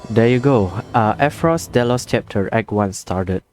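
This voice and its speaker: A man's voice: medium pitch, measured pace, darkish tone, soft background music. A man giving casual gameplay reactions, with slangy, laid-back and hesitant phrasing. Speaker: gaming streamer.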